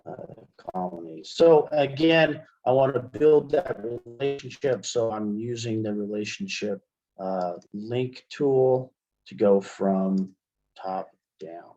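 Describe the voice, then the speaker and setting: Male webinar instructor, unhurried delivery, informal step-by-step explaining, slightly muffled lo-fi recording. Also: mid-range voice, warm tone. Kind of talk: software tutorial demonstration. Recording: dull, lo-fi webinar audio.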